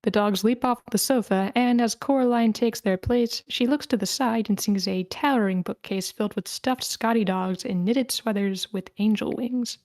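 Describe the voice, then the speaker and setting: A woman's voice: on the high side, normal speed, few pauses, darkish tone, dry recording, clean studio sound. A woman reading screenplay scene directions aloud as the narrator of a table read.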